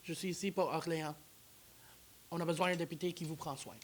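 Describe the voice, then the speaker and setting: A man's voice: on the high side, fairly even intonation, brisk, a little hiss or hum. Man, election candidate in a studio debate, earnest and insistent. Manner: earnest, repetitive rallying lines. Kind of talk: campaign pitch in a debate.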